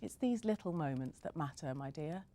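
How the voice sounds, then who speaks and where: A frail old grandmother, tender and affectionate with her family, as a woman reads her part in a storybook. The voice sits low and soft. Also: animated, moderate pace, slight background noise.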